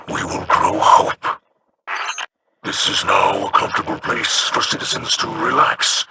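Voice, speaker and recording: A man playing a dignified, gentle alien elder leader in a video game, speaking in a measured, formal, halting way with a breathy, growling rasp. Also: medium pitch, background noise. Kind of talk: scripted video game dialogue.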